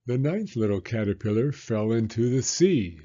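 A man in his sixties reading a children's picture book aloud in a measured storytelling manner. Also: mid-range voice, animated, mellow, lo-fi sound. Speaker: story reader and host, elementary school teacher.

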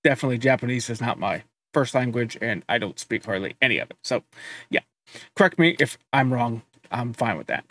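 A man in his thirties hosting a music reaction video from a home studio, talking in a relaxed, chatty way with frequent filler words. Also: mid-range voice, brisk. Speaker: reaction channel host. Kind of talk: casual music reaction commentary.

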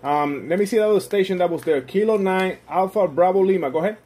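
A man's voice: high voice, fast, mellow, husky. A friendly man working amateur radio contacts, repeating call signs and phrases in a casual way. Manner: friendly, repeats himself, often says "my friend".

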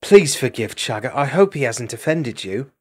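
A man's voice: sing-song, moderate pace. A man voicing a prim young female hedgehog maid who scolds and bristles easily. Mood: scolding. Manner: prim, scolding, shrill when angry.

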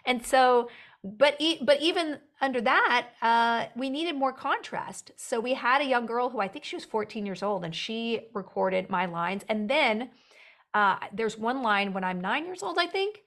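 Young-sounding woman with a headset. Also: a little high. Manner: animated, laughs often, quick asides. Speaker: actor and writer guest. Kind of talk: casual interview chat. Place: home room with bookshelves.

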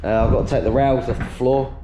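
A man in his thirties, slightly hoarse, chatting casually to a head-mounted camera while he strips out his workshop. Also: medium pitch, steady tone, quick pace, dark tone, a little hiss or hum, poor audio. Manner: chatty, upbeat, matter-of-fact while working. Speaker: workshop owner vlogging. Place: large workshop being stripped out, indoors. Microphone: head-mounted action camera.

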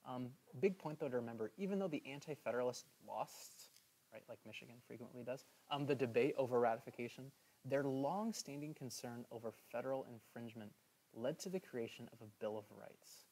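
A man teaching a history lesson over slides in a casual, chatty way, filling pauses with "um" and checking in with "right?". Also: mid-range voice, darkish tone, poor audio. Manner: chatty, many "um"s and "right?" asides.

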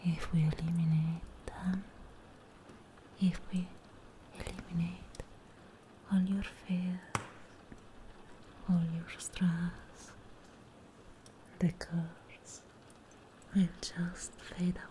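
Young woman, ASMR witch roleplay. Hushed whisper, dark mellow tone, steady flat pitch, close mic over noticeable ambient noise. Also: rough recording. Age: young adult. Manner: hushed, breathy whispering, drawn-out phrases. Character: charming, persuasive, eerie witch performing a cleansing ritual. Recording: close-miked whispers with clear background noise.

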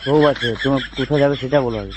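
A man's voice: medium pitch, steady tone, quick pace, background noise. A man, a car driver talking bluntly and impatiently in an informal phone conversation. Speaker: hire-car driver receiving a prank call.

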